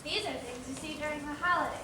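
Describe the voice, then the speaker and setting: High-pitched, kindly little crab played by a woman. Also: quick pace, sharp tone. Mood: eager. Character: kind, eager, forgiving female crab who loves holidays. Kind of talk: children's stage play acting. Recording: echoey stage, distant mic, noisy.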